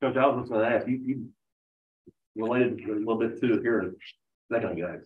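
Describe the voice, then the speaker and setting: Man, reporter, casual questions, mid pitch, dull muffled sound. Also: normal speed.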